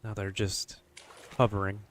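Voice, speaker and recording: A man's voice: lowish voice, flat delivery, measured pace, a little hiss or hum. A man streaming a horror game, grumbling casually and swearing, wary of what lies ahead. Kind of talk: casual livestream commentary.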